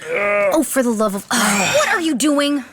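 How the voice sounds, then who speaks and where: An actress playing an exasperated, sardonic beast keeper in a comedic fantasy audio drama. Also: female voice, a little high, sing-song, unhurried, very noisy. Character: exasperated, sulky young female beast keeper. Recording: busy sound effects behind voice.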